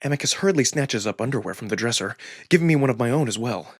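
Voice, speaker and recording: A man with a husky voice reading first-person fiction aloud as a dramatic narrator. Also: mid-range voice, lively intonation, fast, no echo, clean studio sound. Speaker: narrator and performer of a visual novel reading. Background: background music.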